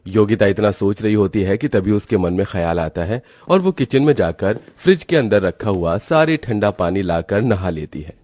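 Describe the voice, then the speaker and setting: A man's voice: fairly low pitch, expressive, normal speed, few pauses, darkish tone, no echo, studio quality. A man reading scripted storybook narration as the narrator of a tale.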